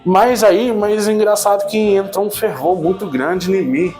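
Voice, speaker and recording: A man in his thirties giving a casual personal church testimony, animated at times and subdued at others, often tagging his sentences with a questioning "né". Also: high-pitched, unhurried, soft background music. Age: 30s. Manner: animated storytelling, frequent "né?" tags, swings between excited and subdued.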